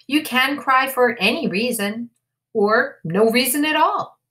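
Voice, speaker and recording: A woman voicing a gentle, reassuring mother rabbit in a storybook, explaining things patiently and tenderly. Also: sits high, measured pace, pitched above the performer's own voice.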